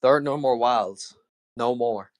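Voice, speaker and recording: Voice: mid-range voice, a little slow, dry recording. A co-commentator following a card game live in a casual way, often in short, clipped interjections. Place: apartment.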